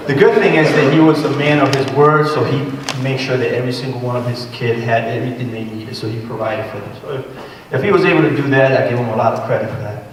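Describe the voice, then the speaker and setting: A man's voice: mid-range voice, fast talker. A man from Puerto Rico talks earnestly to a training group as a guest speaker, moving around the room. He is heard from a distance in a large echoey hall with long reverb, over a faint background hiss. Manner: personal, earnest, walks around while talking. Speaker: guest speaker on workforce development. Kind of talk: informal talk to a training group. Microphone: room mic from a distance.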